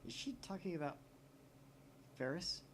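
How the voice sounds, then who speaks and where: A man's voice: fairly high pitch, expressive, normal speed, slight background noise. Man reading a visual novel aloud. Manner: wry, reads narration with commentary. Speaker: let's-play host.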